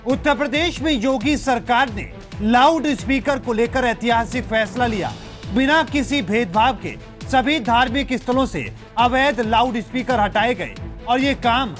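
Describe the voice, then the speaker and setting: A man narrates a news report in a very high-pitched voice. His delivery is scripted reporting, with clear music playing behind him. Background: news background music.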